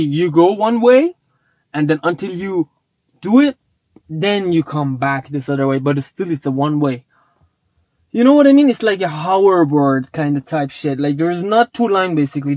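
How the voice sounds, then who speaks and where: A man's voice: a little high, dry recording. A man whose first language is not English, rambling and swearing casually as he talks to his chat in a vlog. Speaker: vlogger. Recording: dull lo-fi sound.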